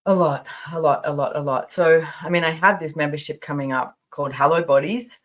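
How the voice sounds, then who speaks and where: A woman in her fifties with a low-pitched voice speaks as a podcast host. She talks in a casual, personal storytelling style, chatty and emphatic, often repeating phrases to drive them home. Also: brisk. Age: 50s.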